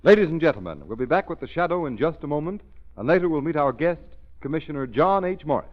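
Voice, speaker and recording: Male radio announcer, 1930s, emphatic, persuasive, lively pitch, slightly high, dull old recording. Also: quick pace, faint music behind. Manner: emphatic, persuasive salesman's delivery.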